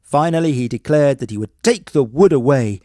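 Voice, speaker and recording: A man with a mellow, medium-pitched voice tells a bedtime story. He reads expressively, like a practised storyteller, in a close, dry recording. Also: animated, normal speed, dry recording. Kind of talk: scripted bedtime story narration.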